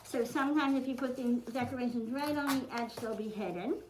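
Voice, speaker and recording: High-voiced woman teaching a children's craft. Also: fairly quick. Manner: simple step-by-step instructions addressed to children; encouraging. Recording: roomy echo, clearly noisy, clicking.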